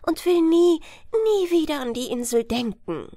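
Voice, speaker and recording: Woman voicing a high, yearning fairy-tale girl. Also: animated, a little slow, mellow, dry recording. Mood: resolving. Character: wistful, curious fisherman's daughter, a young girl yearning for forbidden wonders. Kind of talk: fairy-tale audiobook character voice.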